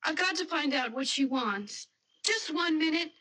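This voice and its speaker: Anxious, high-voiced young bride-to-be. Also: female voice, lively intonation, moderate pace, bright, forceful tone. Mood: sympathetic. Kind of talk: radio mystery drama acting.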